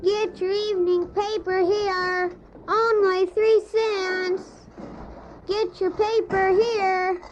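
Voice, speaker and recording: A very high-pitched little boy, acted for an audio drama, orphaned and earnest. He speaks slowly, simply and politely, with a plaintive edge. The old cassette sound is dull, with music behind him. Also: fairly bright tone, a little hiss or hum, full character voice. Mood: calling out. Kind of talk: scripted audio drama acting.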